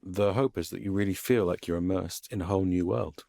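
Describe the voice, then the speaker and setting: A man's voice: low-pitched, brisk, creaky, warm tone, no echo, studio quality. A man giving interview comments as a sound designer.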